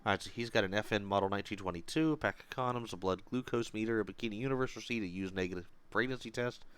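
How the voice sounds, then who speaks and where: A man hosting a police game video, talking casually over the gameplay while he is sick with a cold, and shouting commands at suspects during stops. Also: lowish voice, rapid-fire, slight background noise. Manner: barks loud commands at suspects.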